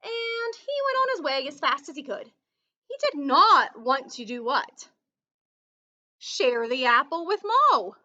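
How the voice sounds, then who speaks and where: A woman's voice: sits high, big pitch swings, unhurried, bright, forceful tone, muffled recording. A woman reading a children's story aloud in a teacherly way, stopping to put questions to her young listeners. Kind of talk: expressive children's read-aloud with asides and questions.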